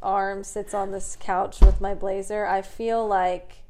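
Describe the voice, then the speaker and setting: A woman in her twenties co-hosting a podcast, talking in a chatty, animated way and swearing casually. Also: mid-range voice, slow, a little hiss or hum. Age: 20s. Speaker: podcast co-host. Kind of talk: casual chat.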